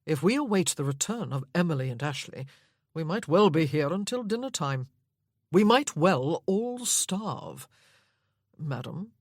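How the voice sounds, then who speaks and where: A woman's voice: low-pitched, expressive, unhurried, no echo, studio quality, exaggerated voice. Woman doing a haughty, bored young duke. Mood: languid. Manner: haughty, languid.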